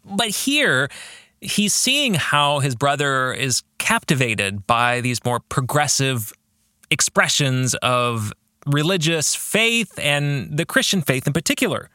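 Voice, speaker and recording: A man with a fairly high, bright voice speaks slowly and deliberately as a podcast host. He reflects on a book in emphatic phrases, pausing and posing questions to the listener, with very animated intonation. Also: dry recording, studio quality.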